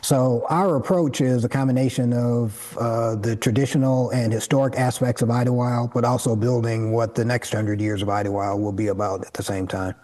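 A man's voice: medium pitch, steady tone, moderate pace, few pauses, warm tone. A man from Detroit, a guest in an interview, explaining community projects in an earnest way. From Detroit, USA. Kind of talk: interview answers.

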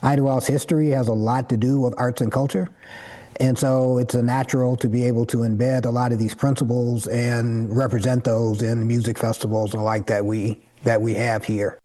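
A man from Detroit answers interview questions in a mellow, relaxed voice. He explains things earnestly and at length, like someone laying out a plan. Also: medium pitch, normal speed. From Detroit, USA. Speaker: community organizer guest.

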